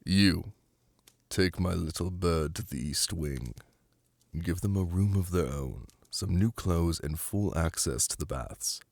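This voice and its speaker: A man's voice: deep voice, gravelly, darkish tone, breathy edge, no room sound, clean studio sound, exaggerated voice. A man playing a commanding, seductive demon prince in an ASMR fantasy roleplay, speaking in a silky, formal and flirtatious way. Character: commanding, seductive male demon prince, doting yet imperious.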